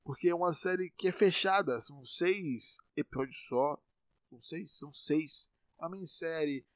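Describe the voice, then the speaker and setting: A man's voice: fairly high pitch, moderate pace, dry recording. Bahian man, twenties, loose rambling podcast monologue, profane and self-mocking, dry close sound. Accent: Bahian Brazilian Portuguese. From Salvador, Brazil. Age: 20s. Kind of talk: casual monologue.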